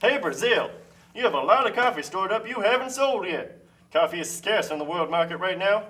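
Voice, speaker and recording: A man acts out a confident free-trade adviser for a puppet play. The voice is high for a man, bright and forceful, heard at some distance from the mic. He declaims like a pushy salesman. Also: animated, brisk. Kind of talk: amateur political puppet show.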